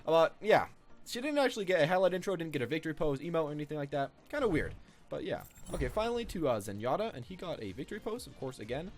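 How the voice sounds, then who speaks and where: Fast, lively young man commentating games. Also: on the high side, soft background music. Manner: rambling, informal. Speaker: gaming video creator. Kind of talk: casual game commentary.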